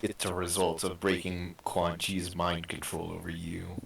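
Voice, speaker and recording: A man's voice: sits low, flat delivery, measured pace, gravelly. A man chatting casually between takes of a group story reading. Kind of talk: casual chat. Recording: echoey room, distant mic.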